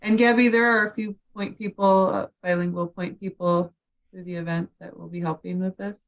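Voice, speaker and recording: A woman's voice: fairly low pitch, steady tone, a little fast, creaky, low-quality recording. A woman facilitating an informal online meeting, giving short, appreciative responses.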